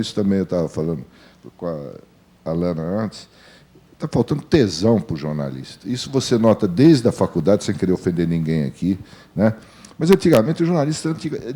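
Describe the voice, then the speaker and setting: Low, very mellow older Brazilian man. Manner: blunt, colloquial, emphatic repetition. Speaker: veteran journalist panelist. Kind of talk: informal panel talk.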